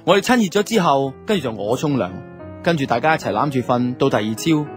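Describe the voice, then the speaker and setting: A man's voice: mid-range voice, animated, fast talker, lightly acted. A man with a Hong Kong Cantonese accent playing a laid-back young man who narrates his love life in a reflective, colloquial way for a radio drama. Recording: music under the voice.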